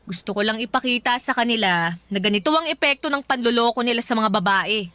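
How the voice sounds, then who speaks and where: A young woman playing a stubborn, heartbroken Filipina daughter turning tomboy in a radio drama, in casual, slangy, expressive speech. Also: on the high side, brisk, no echo. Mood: determined. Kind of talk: Tagalog radio drama acting.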